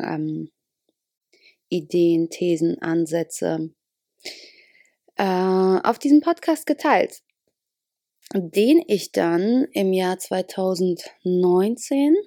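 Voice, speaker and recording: A young woman hosts her podcast from her bed, speaking slowly in a relaxed, rambling way full of small hesitations. Her voice is low, dark and gravelly with fry. The sound is close and dry, with no room around it. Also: no echo. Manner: relaxed, rambling, many fillers. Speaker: podcast host. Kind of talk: casual spontaneous monologue.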